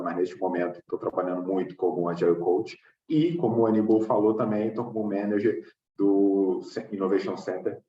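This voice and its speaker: A man with a Brazilian accent giving an online talk as an agile coach, relaxed and tagging his sentences with "tá?". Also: sits low, a little hiss or hum. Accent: Brazilian Portuguese. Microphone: video call. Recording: distant, echoey, muffled call audio.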